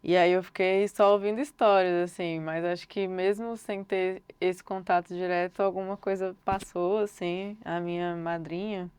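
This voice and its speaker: A young Brazilian woman, a singer-songwriter, answering interview questions thoughtfully and unhurriedly, often pausing on filler words. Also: on the low side, level tone, a little mellow, smooth, dry recording. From Brasília, Brazil.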